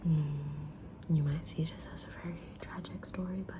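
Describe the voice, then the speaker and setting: A woman's voice: sits low, fairly even intonation, natural voice. Whispering, kindly mysterious witch played by a woman. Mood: calm, mysterious. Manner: slow, gentle, deliberate whispering with pauses. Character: kind, mysterious witch fortune teller, patient and sincere. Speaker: ASMR roleplayer reading tarot cards. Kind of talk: ASMR roleplay, whispered.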